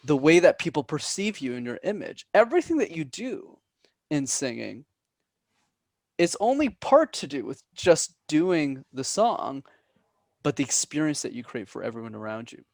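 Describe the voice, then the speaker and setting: Young male podcast guest, public speaking coach; slight vocal fry, enunciates every word. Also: on the high side, very animated, dry recording, studio quality. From Canada. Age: young adult. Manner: clear deliberate enunciation, coaching tone. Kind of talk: podcast interview.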